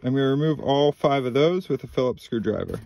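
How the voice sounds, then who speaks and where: A man in his thirties calmly walking through a car repair step by step, with a few ums, recorded inside a car. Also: mid-range voice, fairly quick, vocal fry. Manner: plain, methodical instructions with frequent ums. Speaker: DIY repair channel host. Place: inside a car, small enclosed cabin.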